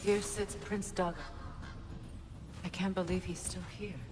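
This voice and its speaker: An actress playing a wise, composed mature sorceress in a video game, with a dry, teasing manner. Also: female voice, lowish voice, flat delivery, measured pace, close to an everyday voice. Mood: sober. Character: wise, dry-witted mature female sorceress, self-possessed. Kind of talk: video game drama acting. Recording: loud music behind the voice.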